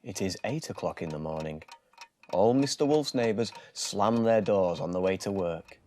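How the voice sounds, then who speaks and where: A man narrating a children's bedtime story in a theatrical, expressive way. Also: deep voice, normal speed, slight background noise.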